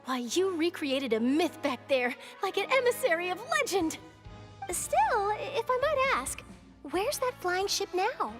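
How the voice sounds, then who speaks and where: An actress playing a bubbly, polite young woman reporter in a video game, eager, flattering and animated. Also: extremely high pitch, a little slow, faint music behind, full character voice. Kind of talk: video game character dialogue.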